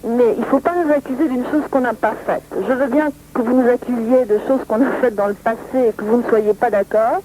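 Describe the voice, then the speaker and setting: A woman's voice: on the high side, steady tone, a little fast, few pauses. A woman journalist speaking on the phone in an earnest, insistent manner, often repeating herself. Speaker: magazine journalist. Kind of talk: phone conversation. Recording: noisy phone line recording.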